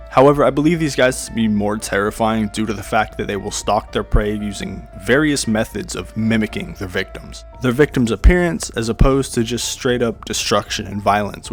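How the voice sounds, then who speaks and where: A man giving a scripted countdown narration as the host of a horror video. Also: lowish voice. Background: music.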